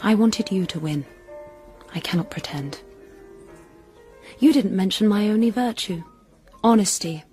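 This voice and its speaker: A woman plays a proud, provocative femme fatale from a radio drama, self-willed and coolly flirtatious. Her mid-pitched voice speaks with candour over faint music. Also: unhurried, long pauses, mellow, lightly acted. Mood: frank, flirtatious.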